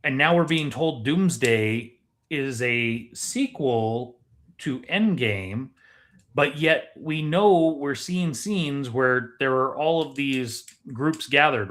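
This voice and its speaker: A man co-hosting a podcast in casual, analytical discussion, deliberate and questioning in how he talks. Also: medium pitch, a bit bright. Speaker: podcast co-host.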